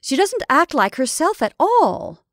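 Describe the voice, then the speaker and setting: A woman's voice: high voice, very animated, measured pace, fairly bright tone, no echo, clean studio sound, voice pushed up, exaggerated voice. Woman playing an impatient, peremptory scolding woman. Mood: exasperated. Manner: insistent, scolding. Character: impatient, peremptory married woman busy with sewing.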